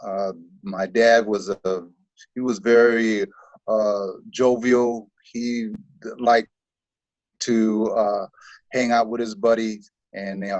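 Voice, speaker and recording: Low-voiced easygoing middle-aged man. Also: dry recording. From born in Korea, raised in the US Midwest. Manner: easygoing, laughs often. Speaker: guest. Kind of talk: casual interview conversation. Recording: dull, muffled recording.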